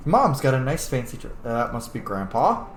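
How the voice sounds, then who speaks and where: A man's voice: mid-range voice, very animated, moderate pace, reverberant, a little hiss or hum. A man giving casual, chatty video game commentary, reacting aloud as he plays. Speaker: gaming video host. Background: game music.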